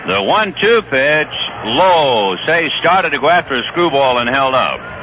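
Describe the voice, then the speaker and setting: A man doing play-by-play baseball commentary on a vintage 1970s radio broadcast, brisk and rising on big plays. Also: medium pitch, expressive, pushed and bright, lo-fi sound, slight background noise. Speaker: play-by-play announcer. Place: ballpark broadcast booth.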